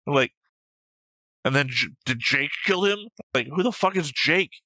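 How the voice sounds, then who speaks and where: A man's voice: fairly high pitch, lively intonation, moderate pace, pushed and bright, no room sound. A man co-hosting an anime show in casual, opinionated discussion, argumentative and emphatic, swearing freely. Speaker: co-host of an anime reaction show.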